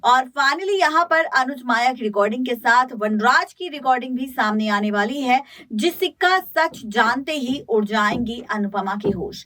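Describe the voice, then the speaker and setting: A woman's voice: high-pitched, a bit bright. A woman with an Indian accent presenting scripted entertainment news about TV serials in a dramatic, emphatic way. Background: background music.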